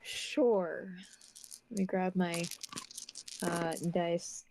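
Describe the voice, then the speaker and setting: A woman's voice: on the low side, extremely slow, noisy background. A woman playing a tabletop game, chatting casually. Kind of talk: casual chat.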